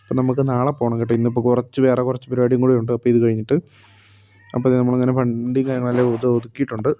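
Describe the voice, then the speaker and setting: A man's voice: mid-range voice, monotone, very fast, highs cut off, slight background noise. A man from Kerala narrating his day vlog-style in a rambling, casual way, full of fillers. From Kerala, India. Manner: rambling, heavy on fillers like "okay" and "and things". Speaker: vlogger narrating a bus-business roleplay.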